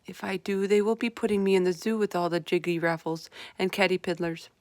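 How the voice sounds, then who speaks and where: A woman's voice: lowish voice, level tone, brisk, darkish tone, dry recording, close to an everyday voice. Female reader as big male storybook giant: sad, stubborn, kindly, rustic muddled grammar, dry sound. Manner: folksy, ungrammatical, mangled invented words. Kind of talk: children's storybook read-aloud.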